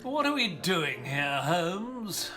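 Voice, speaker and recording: Slow-spoken, wry doctor, expressive. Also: medium pitch, exaggerated voice. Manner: dry, polite, wry. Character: English army doctor, dry-witted, proper. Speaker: narrator and companion. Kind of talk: audio drama narration and acting.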